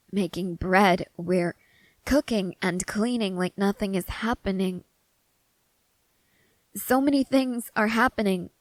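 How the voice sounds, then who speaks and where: A woman playing a haunted, restless young woman soldier in an intimate ASMR character roleplay, speaking haltingly and broodingly with pauses. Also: mid-range voice, dry recording, lightly acted, poor audio. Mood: troubled, frustrated, then agitated, anguished. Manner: slow, halting, brooding delivery with long pauses.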